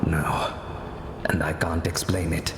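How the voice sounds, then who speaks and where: An actor playing a grave former monk turned spy, speaking in a formal, deliberate, solemn way in a video game cutscene. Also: male voice, extremely low pitch, flat delivery, roomy sound, in a large hall, background noise. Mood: grave, resolute.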